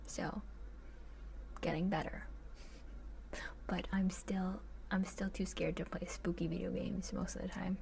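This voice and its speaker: A woman chatting casually in a soft, breathy voice that often drops to a whisper, in a gentle ASMR livestream style with hesitant musing. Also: on the low side, flat delivery, a little slow, long pauses. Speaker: ASMR live streamer. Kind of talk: soft ASMR chat with viewers, much of it whispered.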